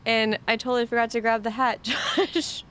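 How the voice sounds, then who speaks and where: A woman's voice: on the high side, steady tone, normal speed, a bit bright. A woman in her thirties from Nebraska, hosting a casual podcast chat, giggly and full of fillers. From Nebraska, USA. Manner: giggly, chatty, many fillers. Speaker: podcast host.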